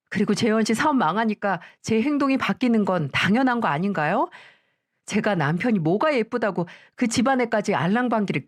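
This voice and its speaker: A woman's voice: medium pitch, natural voice. A woman voicing a cold, contemptuous daughter-in-law who talks back curtly and sharply in a story read aloud. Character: cold, scornful woman in her middle years, the daughter-in-law.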